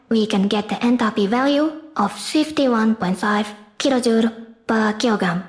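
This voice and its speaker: Woman w/ kinda high voice doing a formal scripted explainer, goes step by step like a class presentation. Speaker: presenter of an engineering class project.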